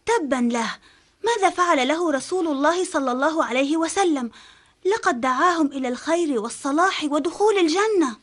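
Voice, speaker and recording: Woman as an indignant high-voiced child, storybook listener. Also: animated, brisk. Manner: indignant, questioning.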